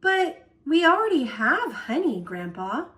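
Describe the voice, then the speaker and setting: A woman's voice: high voice, sing-song, measured pace, a bit bright, pitched above the performer's own voice, full character voice. A woman voicing a restless, curious young girl in a picture-book read-aloud, plaintive and questioning.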